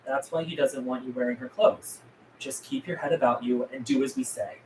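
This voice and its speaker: A man's voice: mid-range voice, brisk, darkish tone, lightly acted. A man reading the part of a guarded, earnest zombie apocalypse survivor at a script table read, terse in manner. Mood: stern. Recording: roomy, distant mic, faint noise.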